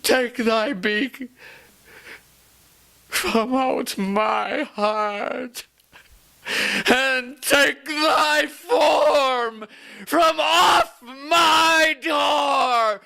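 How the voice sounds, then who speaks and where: A man's voice: very high-pitched, drawn-out words, gravelly, pauses often, bright, forceful tone, shouting, voice pushed up, heavily acted. A man playing an anguished, grieving man in a theatrical poetry recitation, desperate, wailing and melodramatic. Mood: shrieking rage.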